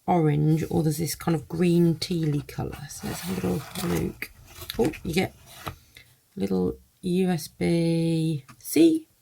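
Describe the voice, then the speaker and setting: A woman's voice: on the low side, little pitch movement, slow, creaky, dark tone, slight background noise. A woman giving a casual first-look product review, thinking aloud in loose, hesitant phrases.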